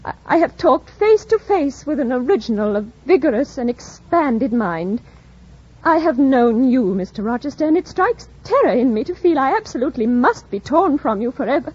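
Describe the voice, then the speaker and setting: Proud lovestruck young british governess, really high voice, formal old radio drama acting, muffled old recording. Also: female voice, sing-song, normal speed, darkish tone, slightly rough, exaggerated voice. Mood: grieving. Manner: formal, deferential yet impassioned diction.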